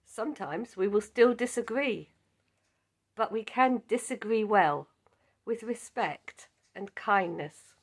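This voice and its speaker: A woman with a croaky voice leading a Bible study, speaking in a measured, earnest way. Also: mid-range voice, lively intonation. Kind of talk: scripted Bible lesson.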